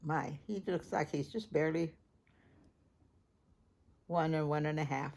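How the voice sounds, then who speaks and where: A woman reading a picture book aloud to children, gently chatting and stopping to ask the listener questions. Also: low voice, unhurried, gravelly. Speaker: storybook reader.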